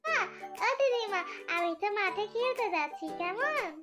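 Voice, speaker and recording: A woman's voice: extremely high pitch, lively intonation, moderate pace, pushed and bright, exaggerated voice. A woman voicing a sweet, innocent little girl in a fairy-tale cartoon, childlike and pleading. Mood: cheerful, curious. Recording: dull lo-fi cartoon track with music.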